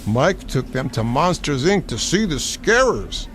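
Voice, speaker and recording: Animated male storybook narrator over music. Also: a little high, unhurried. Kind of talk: scripted children's read-along narration. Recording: music playing behind.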